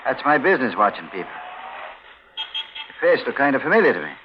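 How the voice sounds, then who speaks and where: A man's voice: medium pitch, sing-song, fast, close to an everyday voice. An actor playing a suspicious, menacing plainclothesman, clipped and insinuating, in 1940s radio mystery style. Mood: cagey, menacing. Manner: clipped, tough-guy, insinuating. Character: suspicious, menacing plainclothes policeman, terse and cagey. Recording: noisy, dull 1940s radio recording.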